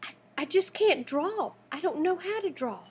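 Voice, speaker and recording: A woman's voice: extremely high pitch, animated, normal speed, slight background noise, voice pushed up. A woman voicing a stubborn young schoolgirl with an emphatic, childlike manner in a storybook. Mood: frustrated.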